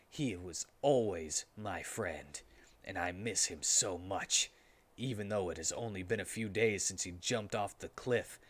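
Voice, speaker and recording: A man's voice: on the low side, fairly bright tone, voice pushed down. A man voicing a brooding, solemn cartoon hedgehog making grave, dramatic speeches.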